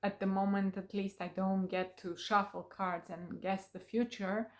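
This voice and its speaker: Romanian-born woman speaking in an unhurried way. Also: medium pitch, level tone, a little mellow. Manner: unhurried, with many fillers and pauses. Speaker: vlogger. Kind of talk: casual talk to camera.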